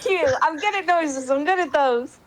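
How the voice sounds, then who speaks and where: A woman's voice: high-pitched, moderate pace. A woman reading story narration casually, as part of a dramatic reading among friends. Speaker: roleplay reader.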